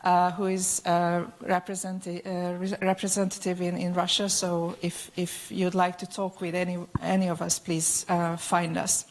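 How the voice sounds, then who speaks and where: A woman's voice: lowish voice, monotone. Hesitant Hungarian-accented woman presenting. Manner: halting, frequent hesitations and repeated words. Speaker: educational publisher's account manager, presenting. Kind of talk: conference presentation.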